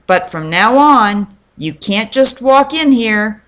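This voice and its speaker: A woman voicing an excitable, bossy young schoolboy in a children's storybook, with an emphatic, insistent manner. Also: on the high side, slow pace.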